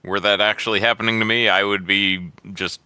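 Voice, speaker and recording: A man's voice: lowish voice, steady tone, fairly quick, sharp tone, clean tone, no room sound. A man co-hosting a casual movie commentary podcast, joking and laughing often. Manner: laughs often, rambling jokes. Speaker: podcast co-host.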